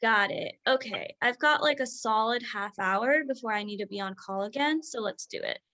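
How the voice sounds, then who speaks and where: A woman playing an overworked, proud doctor mother in a staged drama reading, brisk, insistent and defensive. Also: a little high, sharp tone. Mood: brisk, probing.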